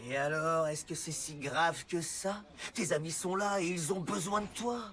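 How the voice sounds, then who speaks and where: A man's voice: on the high side, normal speed, natural voice. A man voicing a cheerful motorbike festival announcer in a French children's cartoon, with theatrical, sing-song delivery. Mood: gentle, encouraging. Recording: loud music under the voice.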